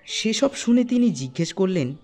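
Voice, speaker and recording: A man's voice: high voice, big pitch swings, normal speed, a little mellow, soft background music. A man narrating a scripted ghost story for an animation, as a storyteller.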